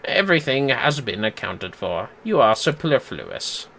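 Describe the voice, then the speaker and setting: A man's voice: medium pitch, sing-song, measured pace, pushed and bright, slight background noise, voice pushed down, heavily acted. A man voicing a curt, disdainful police inspector in a video game, clipped and condescending. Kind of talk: video game dialogue read aloud.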